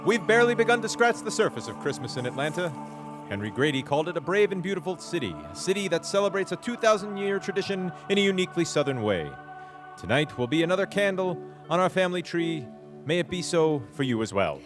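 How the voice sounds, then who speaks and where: A man's voice: sits high, very animated, quick pace. A man acting as the solemn, sentimental narrator of a live Christmas radio drama, reading scripted lines. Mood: warmly solemn. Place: live on stage at a recreation center. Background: prominent music.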